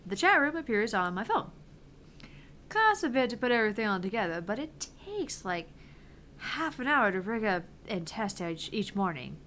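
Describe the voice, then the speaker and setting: A woman's voice: mid-range voice, sing-song, moderate pace, fairly bright tone, slight background noise. A woman voicing a brash, cocky, foul-mouthed female game streamer in a visual novel, with taunting, theatrical delivery. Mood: boastful, eager. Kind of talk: visual novel read aloud in character.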